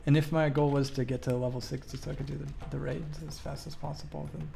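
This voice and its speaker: A man giving casual livestream commentary as he plays a game, answering questions in a thoughtful, halting, reflective way. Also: fairly high pitch, level tone, vocal fry, background noise. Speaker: game streamer.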